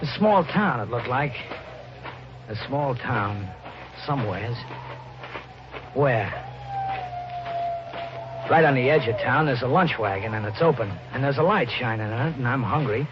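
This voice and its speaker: An actor playing a desperate, guilt-haunted drifter in a 1950s radio drama, narrating in a tense, halting, colloquial American voice. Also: male voice, medium pitch, animated, background noise, close to an everyday voice. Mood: haunted narration. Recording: muffled 1950s radio recording, music behind.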